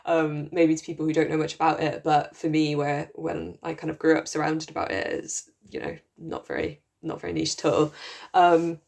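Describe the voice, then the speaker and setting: A woman's voice: deep voice, normal speed, vocal fry, darkish tone. A young woman from Wales with a Welsh accent, chatting on a podcast about her research with lots of ums and likes. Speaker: podcast co-host, PhD researcher. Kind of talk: casual chat.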